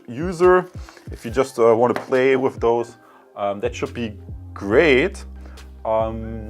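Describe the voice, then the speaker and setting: A man presenting a product review in casual talk to viewers, often hesitating and correcting himself. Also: medium pitch. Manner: halting, with frequent hesitations and self-corrections.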